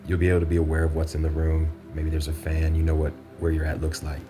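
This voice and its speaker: Male meditation guide, very deep and monotone, measured instructional phrasing with pauses. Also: background noise.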